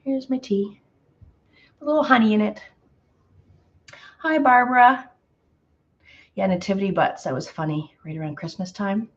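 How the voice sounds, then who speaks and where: Woman a bit hoarse running a live paint-along, chatty, lots of ums, kinda dull lo-fi sound. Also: mid-range voice, moderate pace, pauses often. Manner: chatty, greets viewers by name, frequent fillers. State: slightly hoarse. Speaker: painting instructor. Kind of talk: live painting tutorial chat.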